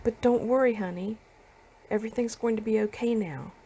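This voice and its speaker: A woman voices a soothing, tender mother in a motion comic, medium in pitch with marked creak. Faint ambience is heard behind her. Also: fairly quick, dark tone, slight background noise, natural voice. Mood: reassuring. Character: tender, reassuring young mother among survivors.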